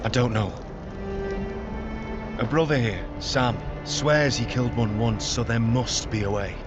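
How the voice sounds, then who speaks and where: An actor playing a solemn, dutiful young northern commander in a video game cutscene, speaking in a grave, measured way. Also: male voice, mid-range voice, lightly acted. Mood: grave, explaining. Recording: dull muffled audio under loud music.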